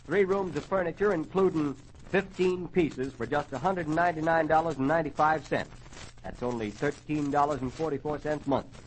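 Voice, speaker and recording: High-pitched folksy old-time radio announcer man. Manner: folksy, jokey showman patter. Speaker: radio show announcer and host. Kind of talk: 1940s radio variety announcing and ad reading. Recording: noisy old radio transcription recording.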